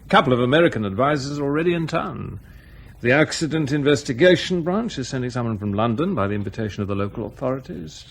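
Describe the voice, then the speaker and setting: An actor playing a troubled, earnest airline captain in a naturalistic radio drama. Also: male voice, medium pitch, animated, fast talker, gravelly, husky. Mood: businesslike.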